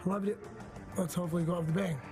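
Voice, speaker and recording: A man's voice: high voice, fairly even intonation, racing, over music. A man from Arizona, a veteran fighter giving a pre-fight interview, hesitant and full of fillers. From Arizona, United States.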